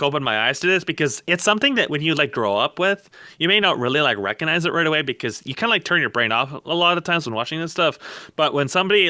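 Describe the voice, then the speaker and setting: A man's voice: fairly high pitch, pushed and bright, dry recording. A man co-hosting a podcast in casual, opinionated discussion, rambling and emphatic, repeating words for stress. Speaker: podcast co-host.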